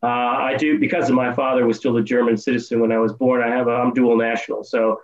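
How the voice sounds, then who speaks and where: A man's voice: mid-range voice, little pitch movement, fast. American-born guy in his 50s, ums a lot, restarts his sentences, muffled call audio. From United States. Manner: hesitant, many ums and restarts. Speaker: guest. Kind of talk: casual interview over a call.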